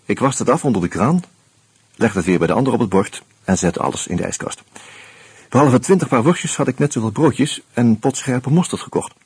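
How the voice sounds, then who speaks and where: An actor playing an easygoing amateur sleuth who talks hesitantly and narrates wryly in a Dutch radio drama. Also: male voice, mid-range voice, lively intonation, brisk, darkish tone, slightly rough. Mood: wry narrating. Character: Hans, easygoing amateur sleuth and wry first-person narrator.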